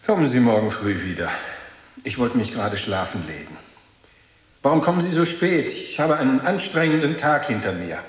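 An actor playing a weary, guarded pastor in a radio drama, curt and defensive, with hesitant moments. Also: male voice, medium pitch, sing-song, moderate pace, reverberant, natural voice. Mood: weary, reluctant. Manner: curt, brusque, questioning, hesitant at times.

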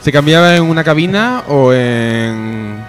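A man's voice: fairly high pitch, lively intonation, unhurried, over music. A man hosting a radio comedy show in casual, cheeky banter, often cutting in.

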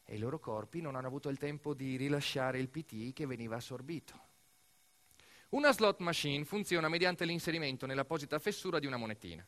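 A man performs a dramatic narrative monologue as an actor, telling the story deliberately in short, weighted phrases. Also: a little high, lively intonation, fairly bright tone. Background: music.

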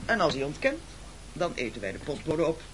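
Pompous, domineering castaway leader, noisy recording. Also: male voice, fairly high pitch, wildly swooping pitch, brisk. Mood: pompous threatening. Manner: pompous, formal, accusatory. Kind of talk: absurdist radio play acting. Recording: noisy, clicky old radio recording.

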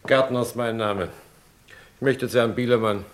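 A man's voice: mid-range voice, steady tone, normal speed, close to an everyday voice. A man playing a cool, matter-of-fact hired agent in a German radio crime drama, speaking in a dry, laconic, slangy way. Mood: curt.

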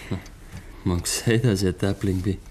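An actor playing an uneasy, weary Bavarian husband in a dialect radio play, muttering and halting as he talks. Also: low-pitched, fairly even intonation, darkish tone, husky. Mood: sullen.

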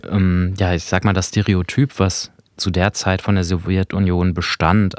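A man's voice: low-pitched, no room sound. A male podcast host talking reflectively about radio drama in a thoughtful, explanatory way.

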